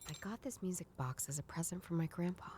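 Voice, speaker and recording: A woman playing a jaded, sardonic detective in a noir video game, musing in a dry, weary deadpan. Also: on the low side, fast talker, close to an everyday voice. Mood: wry, weary. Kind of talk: video game voice acting, inner monologue.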